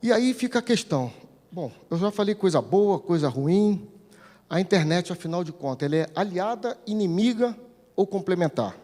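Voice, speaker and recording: A man's voice: high-pitched, lively intonation, moderate pace, dark tone. A Brazilian man giving an informal conference talk, explaining didactically and often posing questions that he answers himself. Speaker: speaker at a conference, regional TV news editor-in-chief. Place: large indoor conference hall. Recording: echoey hall with long reverb.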